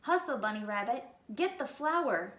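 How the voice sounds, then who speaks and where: A woman's voice: on the high side, expressive, moderate pace, a bit bright, roomy sound. A woman voicing a scruffy, nasty-tempered male varmint from a storybook, snarling and barking orders. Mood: bossy.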